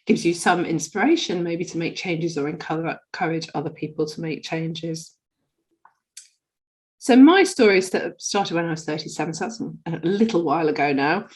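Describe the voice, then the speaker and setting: Chatty woman, slightly nasal from a cold. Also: sits low. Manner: chatty, with many ums and restarts. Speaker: guest speaker. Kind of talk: casual personal talk.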